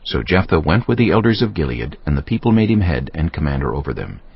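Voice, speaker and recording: A man with a gravelly voice giving a dramatic scripted narration of scripture. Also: rumbling low, big pitch swings, quick pace, dry recording. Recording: dull band-limited audio, faint music underneath. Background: faint music.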